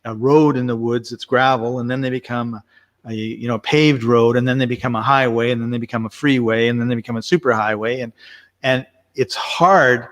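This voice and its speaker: A man's voice: medium pitch, steady tone, fairly quick, a bit bright. A man hosting a casual remote chat, joking around and explaining ideas through long spoken analogies. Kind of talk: casual podcast chat over a call. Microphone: remote call mic.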